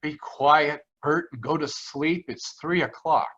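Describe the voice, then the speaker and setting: A man's voice: a little high, unhurried, fairly bright tone, husky, highs cut off. A man playing a brusque asylum orderly in a radio drama. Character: gruff male asylum orderly.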